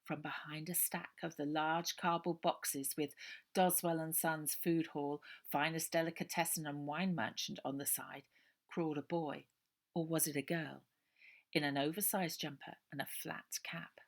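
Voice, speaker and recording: A woman's voice: deep voice, little pitch movement, measured pace. A woman reading a children's novel aloud as scripted story narration.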